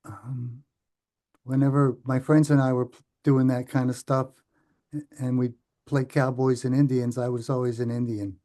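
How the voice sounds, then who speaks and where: An American man in his seventies recalling his life as a podcast guest, speaking in a halting, thoughtful way that often trails off. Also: mid-range voice, level tone, warm tone. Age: 70s. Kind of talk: reflective interview recollection.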